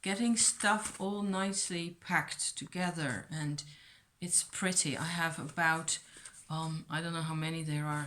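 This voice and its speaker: Hesitant, rambling woman, lowish voice. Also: fairly bright tone, slight background noise. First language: Dutch. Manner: rambling, hesitant, many fillers and restarts. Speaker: vlogger. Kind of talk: casual rambling chat to camera.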